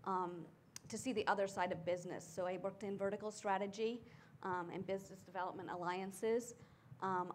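A middle-aged woman talks about her career on a conference panel, seated, wearing a lapel mic. Her speech is conversational, full of ums and small restarts. A faint ambient hum sits underneath. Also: mid-range voice. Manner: conversational, frequent filler ums. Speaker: tech executive panelist. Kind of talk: panel discussion. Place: conference stage seated in armchair.

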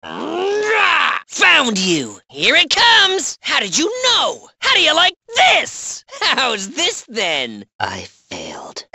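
Shrill, menacing monkey mastermind. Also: female voice, wildly swooping pitch, extremely slow, gravelly, bright, forceful tone, no echo, studio quality, full character voice. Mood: menacing, frustrated. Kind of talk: video game boss quotes.

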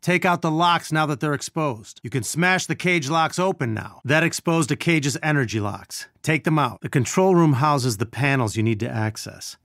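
A man's voice: a little high, animated, normal speed, vocal fry, hardly any pauses, fairly bright tone, no room sound, studio quality. Male game role: earnest scientist and resistance leader briefing a hero squad over comms, natural delivery. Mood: instructive. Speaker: mission support over comms. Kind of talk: video game voice acting.

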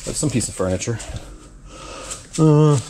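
A man's voice: on the high side, expressive, a little slow, dark tone. A man reselling storage unit finds, thinking aloud with wry asides as he digs through boxes, filmed on a head-mounted camera in a metal storage hallway. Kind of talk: casual vlog narration while unboxing. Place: indoor self-storage hallway, metal walls. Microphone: head-mounted action camera. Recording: noisy, crinkling and rustling behind.

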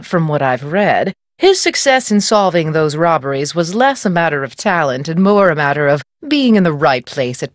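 A haughty woman writer from a video game, with a lowish voice that swoops expressively through every sentence. She is pompous and patronizing, speaking in polished, articulate phrases. The recording is dry and close. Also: dry recording. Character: haughty, self-important woman novelist, patronizing and brisk. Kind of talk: video game character dialogue.

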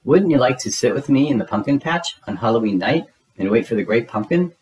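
A man voicing an earnest, excitable, hopeful little boy in a children's storybook, with a pleading manner. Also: mid-range voice, fairly quick, slightly rough, pitched above the performer's own voice. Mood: eager.

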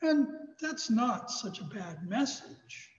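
A man in his sixties with an American accent, a pastor preaching a sermon in a measured, emphatic way. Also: very high-pitched, very animated. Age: 60s. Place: large church sanctuary, indoors. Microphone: thin headset microphone. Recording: echoey church hall, slightly dull sound.

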